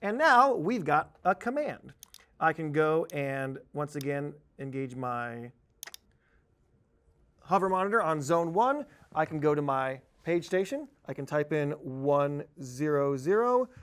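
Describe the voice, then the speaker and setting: Higher-pitched man giving a casual software tutorial. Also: animated, unhurried, clean tone. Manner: informal, explanatory, with playful asides. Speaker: software trainer. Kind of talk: conversational instructional screen-share tutorial.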